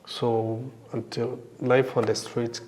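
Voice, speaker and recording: A middle-aged man with a Rwandan accent, giving reflective personal testimony in a halting, thoughtful way. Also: mid-range voice, flat delivery, a little mellow, a little hiss or hum. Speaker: interview guest sharing survivor testimony.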